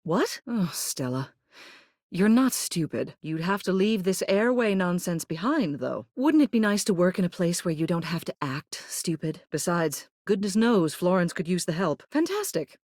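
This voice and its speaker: Video game role: stern, haughty lady politician, lowish register, formal and clipped delivery, close dry sound. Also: very animated, darkish tone, dry recording. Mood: dry, condescending. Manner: formal, clipped, authoritative, measured. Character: stern, haughty female ceiling who governs a household like a politician. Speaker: video game character voice actor. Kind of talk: scripted game character dialogue.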